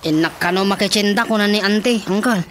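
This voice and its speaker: A man's voice: high voice, brisk, fairly bright tone, a little hiss or hum. A man acting an eager, goofy young nephew in a radio comedy drama, comically deferential to his elders. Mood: flattering.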